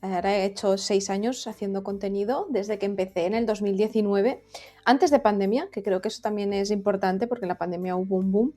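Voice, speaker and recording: A woman's voice: mid-range voice, brisk, dark tone, soft background music. A woman guest on a podcast interview, explaining her views at length in a thoughtful way. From Valencia region, Spain. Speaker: guest, content creator. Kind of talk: conversational interview.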